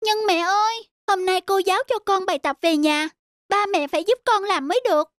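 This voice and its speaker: Meek, high-voiced little schoolgirl. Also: a little fast, no echo, clean studio sound. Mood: pleading. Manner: hesitant, meek. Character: small schoolgirl, timid, sad, longing for affection. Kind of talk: cartoon dialogue.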